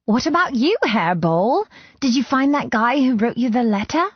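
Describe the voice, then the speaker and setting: A woman's voice: a little high, animated, normal speed, husky, no room sound, dull audio, pitched above the performer's own voice. A woman voicing a chatty, teasing young female friend in audiobook dialogue, exclamatory and playfully sarcastic.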